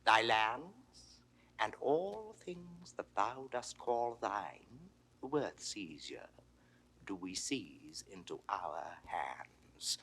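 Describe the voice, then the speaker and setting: An imperious usurping ruler with a British accent, menacing and widely varied in pitch. His voice is hard-edged and swings from hushed threat to thundering orders, in the classical manner of a 1960s recorded play. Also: sing-song, measured pace, exaggerated voice. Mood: cold menace. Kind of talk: classical Shakespearean stage acting. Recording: 1960s studio audio drama recording.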